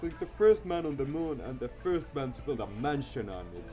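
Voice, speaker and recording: A man voicing a boastful, dim, excitable teenage boy in a visual novel, bragging and exclaiming. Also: fairly high pitch, a little fast, dull audio. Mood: proud, excited. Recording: loud music behind.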